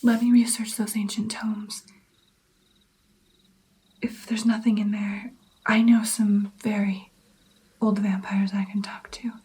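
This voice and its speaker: A woman doing whispered ASMR roleplay as a gentle, caring vampire mentor, with an intimate, breathy delivery. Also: medium pitch, level tone, slow, long pauses, rough recording.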